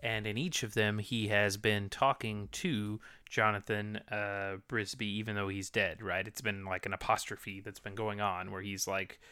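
A young man with a smooth, clean-toned voice chatting through a film with dry, wry asides. He slips into mock voices to poke fun at the story. He is recorded close and dry, with no room sound. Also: lowish voice, flat delivery, pushed and bright, no echo. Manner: wry, quick asides, acts out lines in mocking voices. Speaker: podcast co-host. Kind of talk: casual movie recap chat.

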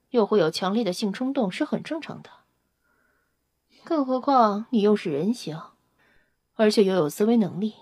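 A woman's voice: medium pitch, darkish tone, dry recording, studio quality, close to an everyday voice. A woman playing a nosy, coolly curious vampire scientist in an audio drama, blunt and inquisitive. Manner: inquisitive, blunt, matter-of-fact.

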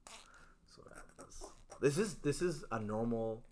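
A man's voice: mid-range voice, a little slow, a little hiss or hum. Slangy man telling a story on a podcast. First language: Urdu. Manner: animated, slangy storyteller who says "yo" a lot. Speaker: podcast co-host. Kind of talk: casual podcast chat.

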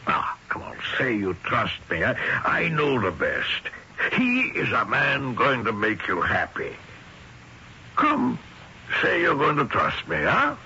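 An actor playing an anxious, controlling middle-aged father in a radio drama, with halting, insistent, formal phrasing. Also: medium pitch, huge pitch swings, bright, forceful tone, full character voice. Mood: coaxing. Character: anxious, controlling immigrant father, proud and protective. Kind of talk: old-time radio drama acting. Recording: dull, noisy old radio recording.

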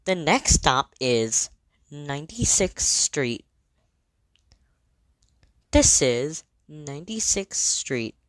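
A woman delivering scripted train announcements in a measured, formal, clearly segmented manner. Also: sits low, very animated, no echo.

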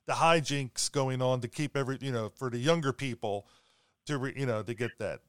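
A man's voice: medium pitch, brisk, a bit bright, smooth, dry recording, clean studio sound. A man hosting a casual interview, easygoing and laughing along with his guest. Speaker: podcast host.

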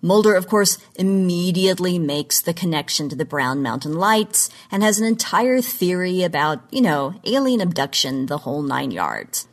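A woman's voice: fairly low pitch, sing-song, normal speed, hardly any pauses. A woman presenting a scripted podcast segment on genre history in an articulate, emphatic, lecture-like manner.

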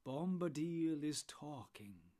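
A man voicing a jolly, booming old man of the woods in an audiobook, with a loud rustic sing-song manner and drawn-out words. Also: big pitch swings, heavily acted. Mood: commanding. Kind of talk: audiobook character voice.

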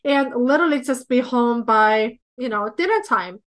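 A woman in her thirties from Estonia with an Estonian accent, a business coach telling her story as a podcast guest in relaxed, chatty interview talk. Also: a little high, normal speed. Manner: storytelling, relaxed with light laughs.

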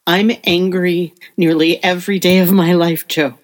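A woman playing a gentle, wise middle-aged mother in an audio play, speaking in a soothing, earnest way. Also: on the low side, flat delivery, moderate pace, lightly acted. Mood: gentle reassurance. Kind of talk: audio play acting with narration.

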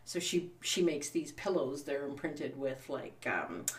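A woman's voice: low-pitched, sing-song, a little slow. A woman in her fifties with a Canadian accent, chatting as an interview guest and often laughing as she tells stories. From born in Scotland, raised in Canada. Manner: chatty and upbeat, many fillers, laughs while telling stories. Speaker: guest, sewing shop owner. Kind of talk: casual interview chat. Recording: voice distant from the mic.

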